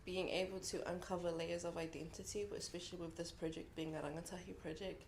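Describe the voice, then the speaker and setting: Earnest young New Zealand woman, lowish voice. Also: little pitch movement, darkish tone, slight background noise. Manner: reflective and earnest, with frequent pauses. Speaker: contributor speaking at a book launch. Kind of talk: heartfelt speech to an audience. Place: event room at a book launch.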